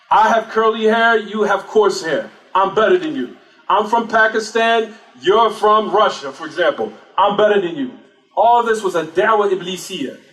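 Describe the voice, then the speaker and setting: A man's voice: high voice, fairly bright tone. A man giving a religious lecture, often asking rhetorical questions and then answering them himself. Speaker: Islamic lecturer. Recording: echoey room, distant mic.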